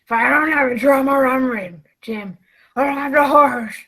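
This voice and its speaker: A woman playing a gruff, drunken old sea captain with a raspy voice in a radio play. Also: high-pitched, normal speed, a bit bright. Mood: desperate, raving. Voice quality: raspy, gravelly.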